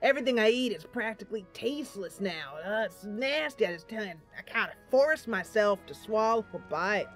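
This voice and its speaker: A woman's voice: medium pitch, expressive, normal speed, hardly any pauses, natural voice. Eccentric old herbalist played by a woman: rustic, rambling, theatrical game character, music underneath. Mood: rueful, confiding. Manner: rambling, folksy, theatrical with chuckles and sighs. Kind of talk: game roleplay voice acting.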